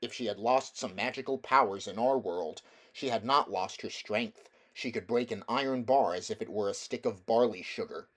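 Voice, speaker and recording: A man's voice: fairly low pitch, moderate pace, few pauses. A man reading a children's fantasy novel aloud as scripted storybook narration. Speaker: amateur audiobook reader.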